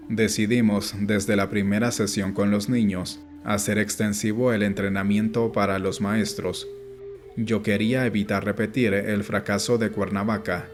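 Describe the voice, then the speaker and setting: Guy reading an audiobook, pretty flat delivery, measured and explainy, music going behind him. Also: fairly low pitch. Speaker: audiobook narrator. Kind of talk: scripted audiobook narration. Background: background music.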